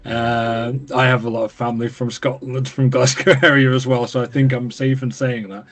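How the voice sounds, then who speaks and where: A man's voice: mid-range voice, level tone, normal speed. A man co-hosting a podcast, talking casually and hesitantly with many fillers. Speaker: podcast co-host. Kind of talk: casual conversation.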